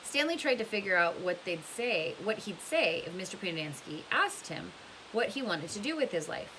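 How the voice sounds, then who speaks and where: Female read-aloud narrator: bright tone, animated pitch, storybook delivery, slight hiss. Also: moderate pace, rough recording. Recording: clear background hiss.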